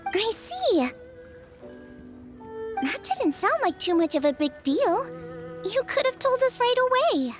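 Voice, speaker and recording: Very high, cheeky teenage girl. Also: unhurried, pauses often, over music, full character voice. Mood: playful, dismissive. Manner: teasing, inquisitive, expressive. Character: bold, nosy, playful teenage girl, cheeky and theatrical. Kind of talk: video game character dialogue.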